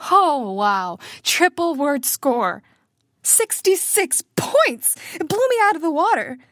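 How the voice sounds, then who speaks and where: An actress playing a tender, playful young woman who misses her family, in a confiding, expressive audio drama monologue. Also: on the high side, no room sound, studio quality. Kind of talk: intimate first-person audio drama monologue.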